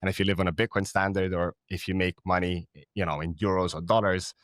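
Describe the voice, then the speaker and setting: Man, thirties, from Cyprus, low voice, dry close sound, casual interview chat. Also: little pitch movement, fairly bright tone, no echo. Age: 30s. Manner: quick-talking, frequent restarts and fillers. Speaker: podcast guest.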